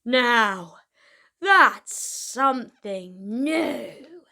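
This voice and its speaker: A rowdy storybook Viking, voiced by a woman, calling out loudly and high. The words are stretched slowly and swoop around, like someone hard to impress. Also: expressive, gravelly, full character voice. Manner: loud, stretched, sing-out delivery.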